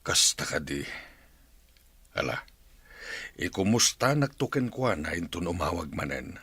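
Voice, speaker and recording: A man's voice: low-pitched, sing-song, unhurried, pushed and bright, breathy edge, no echo, heavily acted. A man playing an authoritative ranch boss in a radio drama, with an Ilocano accent. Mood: subdued. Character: authoritative male ranch boss addressed as sir.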